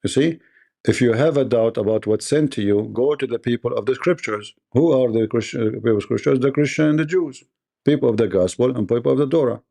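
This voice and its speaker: Combative host with a mellow, dark voice. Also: male voice, mid-range voice, a little fast, vocal fry. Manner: combative, repetitive and quizzing. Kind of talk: live-stream debate.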